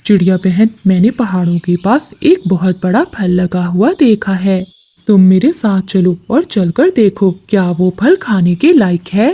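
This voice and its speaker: A woman's voice: medium pitch, expressive, unhurried, dark tone, husky, voice pushed down. A woman voicing a curious, polite male pigeon in a children's cartoon. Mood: earnest. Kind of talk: children's cartoon story acting.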